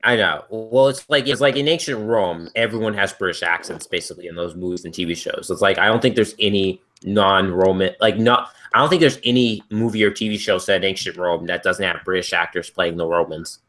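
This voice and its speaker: A man's voice: low-pitched, brisk, few pauses, fairly bright tone. A man chatting casually and wordily, repeating himself as he circles around his point. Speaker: co-host of a casual stream. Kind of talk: casual rambling chat.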